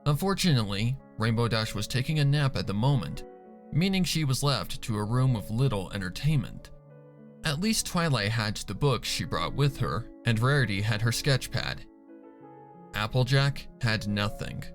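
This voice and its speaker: Man narrating a story, lively pitch, over music. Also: normal speed. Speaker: fan fiction narrator. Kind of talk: dramatic scripted story narration.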